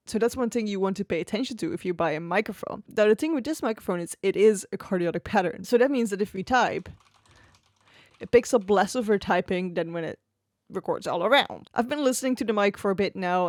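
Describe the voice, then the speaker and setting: A woman's voice: mid-range voice, darkish tone, no room sound. A woman streamer talking casually and easygoing about her gear, laughing often, close to a studio microphone at her home desk. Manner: chatty and easygoing, with frequent laughs. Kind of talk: casual tech review talk. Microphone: close XLR studio microphone on an arm.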